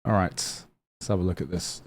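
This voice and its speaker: A man's voice: deep voice, steady tone, moderate pace. A young man casually reacting to an anime trailer on a live stream, swearing freely, laughing often and repeating himself as he thinks aloud. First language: not Japanese.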